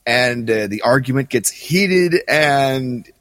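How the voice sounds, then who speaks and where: A man's voice: mid-range voice, lively intonation, slow pace, fairly bright tone. Wry male co-host, faint hall tail. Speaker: podcast co-host. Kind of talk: casual discussion chat.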